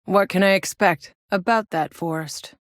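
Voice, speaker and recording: An actress voices a hardened woman soldier from a sci-fi video game. Her voice sits on the low side, with a blunt, world-weary, sardonic edge and a sweary directness. The sound is dry and studio-clean, with no room around it. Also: unhurried, no room sound, natural voice. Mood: businesslike. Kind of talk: scripted video game character dialogue.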